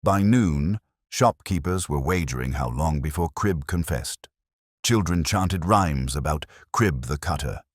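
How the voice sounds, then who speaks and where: Very deep-voiced male storyteller. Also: animated, measured pace, no echo, studio quality. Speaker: audiobook narrator. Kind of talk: scripted storytelling narration of a mystery.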